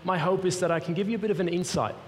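A man's voice: sits high, very fast, slight background noise. Swiss-accented man preaching in a hall. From Switzerland. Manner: earnest, personal storytelling. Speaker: guest speaker for a mission organization. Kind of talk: sermon. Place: large church hall. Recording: long reverb of a church hall.

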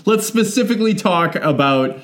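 A man's voice: high voice, expressive, moderate pace, a bit bright. A young man co-hosting a podcast, wry and sweary in casual chat. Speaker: podcast co-host.

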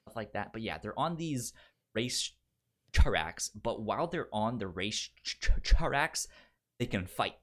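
A man's voice: lowish voice, sing-song, a little slow, fairly bright tone. A man chatting casually as a podcast host giving recommendations, stuttering and repeating syllables and words as he goes. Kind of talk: casual film chat.

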